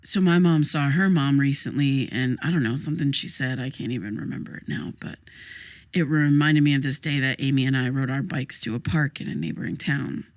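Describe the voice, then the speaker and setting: A guarded, hesitant grieving young woman. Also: very deep voice. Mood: searching, uneasy. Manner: hesitant, halting, casual, deflecting. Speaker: therapy client in audio drama. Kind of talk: naturalistic audio drama dialogue.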